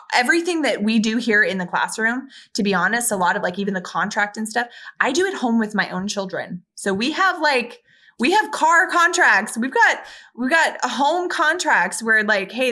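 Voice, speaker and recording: A woman's voice: medium pitch, sharp tone. A woman in her thirties, a kindergarten teacher, chatting expressively on a video call from her classroom, often restarting her sentences. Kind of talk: casual video-call interview. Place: classroom with windows.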